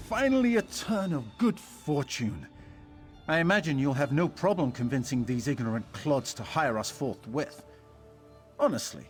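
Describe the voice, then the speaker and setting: A man's voice: medium pitch, natural voice. A man playing a haughty, aggrieved scholar and artificer in a video game, wordy and indignant. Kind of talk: video game character dialogue.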